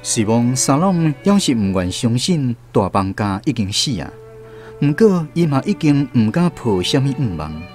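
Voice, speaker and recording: A man's voice: mid-range voice, very animated, normal speed, a little mellow, soft background music. A man with a Taiwanese accent narrating a literary radio drama.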